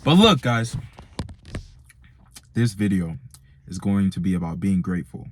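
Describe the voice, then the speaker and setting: Young American male, late teens, lower-pitched voice, casual motivational vlogger with slangy, buddy-to-buddy address. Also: expressive, a little slow, slight background noise. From Nigerian-born, grew up in America from age four. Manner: slangy, direct address to young men, "bro" and "man". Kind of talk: casual motivational vlog monologue.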